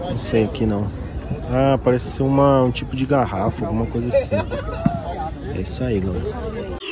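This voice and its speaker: Brazilian male vlogger, excited handheld market walkthrough, steady mid voice, crowd chatter behind. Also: medium pitch, moderate pace. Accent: Brazilian Portuguese. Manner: excited, points things out with constant "ó". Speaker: toy collector vlogger. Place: outdoor street flea market. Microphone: handheld phone camera. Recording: busy crowd noise outdoors. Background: market crowd chatter and traffic.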